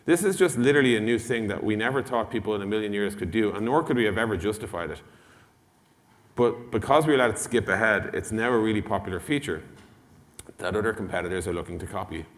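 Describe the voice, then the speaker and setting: A man's voice: lowish voice, animated, fast. A man giving an informal product talk on a conference stage, casual and blunt, as an executive addressing a room. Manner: casual, blunt, mildly sweary, uses acted-out dialogue. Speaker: conference speaker, tech company executive. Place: large indoor conference hall. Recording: long hall reverb from conference stage.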